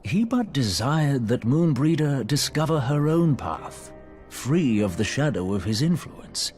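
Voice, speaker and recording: An actor playing a solemn, grieving scholar in a video game, speaking formal, archaic, theatrical English. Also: male voice, mid-range voice, sing-song, measured pace, a little mellow, soft background music. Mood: regretful. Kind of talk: scripted video game drama acting, archaic diction.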